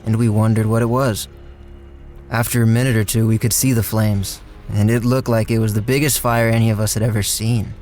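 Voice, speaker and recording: A man's voice: fairly low pitch, flat delivery, a little fast, a little mellow, soft background music, close to an everyday voice. An actor playing an easygoing handyman with a folksy, rambling way of telling his shady story in a radio drama. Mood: reflective, plainspoken. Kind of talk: radio drama first-person narration and dialogue.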